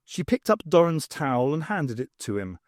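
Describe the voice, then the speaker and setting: A man reading a novel aloud as an expressive audiobook narrator. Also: a little high, normal speed, no echo, studio quality.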